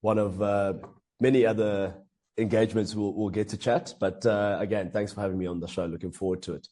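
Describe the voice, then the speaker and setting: A man from Africa, a hockey coach giving earnest, measured interview answers. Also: lowish voice, steady tone, dark tone. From South Africa. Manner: earnest, measured, with frequent fillers and restarts.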